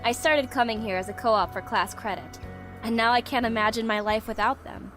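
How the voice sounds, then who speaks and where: A woman playing a prickly but secretly soft-hearted young woman in a visual novel game, with a guarded, defensive manner. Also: fairly high pitch, fairly quick, music in the background, close to an everyday voice. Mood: earnest, softened. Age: young adult.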